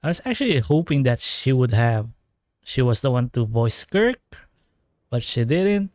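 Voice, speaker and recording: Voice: mid-range voice, very animated, unhurried, a little mellow, dry recording, clean studio sound. A streamer giving casual, rambling commentary while playing a story game. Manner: chatty, rambling fan theorizing. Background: game music.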